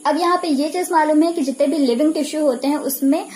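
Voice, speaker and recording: A woman's voice: high voice, fast, dark tone. A woman with an Indian accent giving an explanatory lecture in a didactic way, posing questions and then answering them herself. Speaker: physiotherapy lecturer. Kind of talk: explanatory lecture mixing Hindi with English technical terms.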